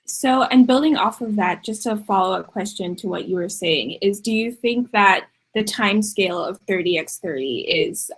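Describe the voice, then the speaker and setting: A woman's voice: lowish voice, breathy edge, low-quality recording. A woman moderating an online youth panel, polite and encouraging as she brings people on. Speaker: session moderator.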